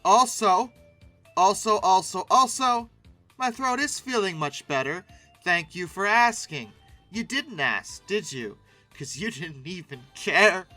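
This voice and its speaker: A man's voice: high voice, expressive, slow pace, sharp tone, soft background music. A man giving casual, humorous commentary in a theatrical way, repeating words and adding mock-exasperated asides. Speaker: host reviewing old paleoart.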